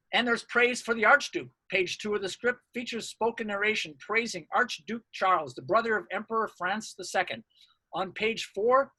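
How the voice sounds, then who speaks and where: A man's voice: high-pitched, pushed and bright, slightly rough, rough recording. A man presenting academic research, reading a scripted lecture in a formal, orderly way.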